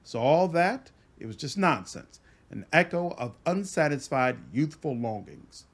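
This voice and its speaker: A man voicing a grumpy, arrogant young nobleman who mutters slowly. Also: on the high side, lively intonation. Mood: wry.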